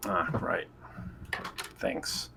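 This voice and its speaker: A man playing a tabletop game, chatting casually in a hesitant, halting way. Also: background noise. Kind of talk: casual chat.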